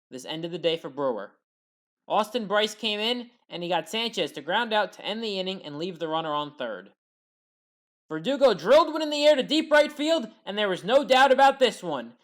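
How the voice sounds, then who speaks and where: A woman delivering a scripted play-by-play recap as a sports highlights commentator.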